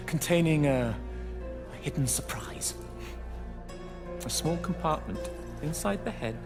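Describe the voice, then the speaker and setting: Casting: smug imperial heir, flamboyant, very animated delivery, game drama with music behind. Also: male voice, on the high side, a little slow. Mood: smug, boastful. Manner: self-satisfied, affected, flamboyant. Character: vain, spoiled, theatrical son of a Roman emperor. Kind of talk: dramatic game cutscene acting.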